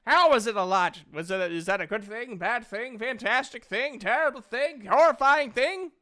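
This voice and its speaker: A game master voicing a cheerful, excitable machine character in an exuberant, gushing manner. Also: mid-range voice, normal speed, voice pushed up, close to an everyday voice. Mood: eager curiosity.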